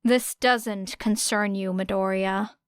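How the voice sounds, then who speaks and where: Woman playing a fierce, sharp-tongued teenage girl. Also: mid-range voice, steady tone, slow, smooth, no room sound, studio quality, natural voice. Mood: icy. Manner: sharp, hissing, growling. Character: fierce, blunt, foul-mouthed teenage girl student.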